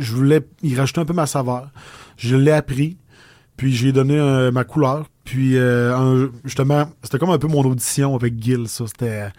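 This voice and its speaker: A man with a Québécois French accent, telling his story casually as an interview guest, with many pauses and restarts. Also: mid-range voice, a little mellow, no room sound.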